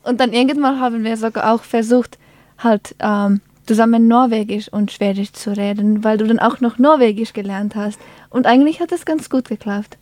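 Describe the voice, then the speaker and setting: A woman's voice: on the high side, fairly even intonation, normal speed, darkish tone, no room sound. A young woman hosting a casual chat, encouraging and friendly, with short backchannels. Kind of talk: casual conversation.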